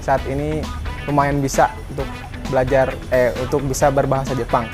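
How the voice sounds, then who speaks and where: A halting 19-year-old man from Ciamis in Indonesia, a student giving a testimonial. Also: mid-range voice, little pitch movement. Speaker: student at a language training school. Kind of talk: testimonial to camera. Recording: music laid over the voice.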